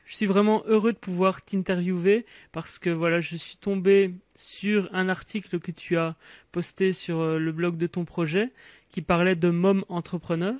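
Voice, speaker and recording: A man's voice: sits high, steady tone, normal speed, no room sound. A man hosting an interview, hesitant and filling his questions with stock phrases. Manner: hesitant, fills with "voilà". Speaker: interview host.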